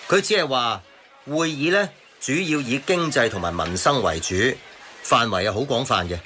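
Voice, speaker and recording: A well-informed man in a Hong Kong Cantonese radio drama. He explains things with a very varied intonation at middle pitch, over slight noise. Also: expressive, normal speed, bright, forceful tone. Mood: explanatory.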